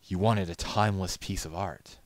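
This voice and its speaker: A man voicing a male pony glassblower, a devoted craftsman, in a measured, earnest, self-assured way for a storybook reading. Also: deep voice, fairly even intonation, dry recording, lightly acted. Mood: calm pride.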